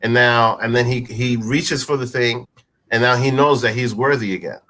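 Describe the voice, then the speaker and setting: A man with an average-pitched voice argues casually as a panelist on a film review show. He is insistent, often halting and repeating phrases to get his point across. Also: steady tone, sharp tone. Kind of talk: casual debate with friends.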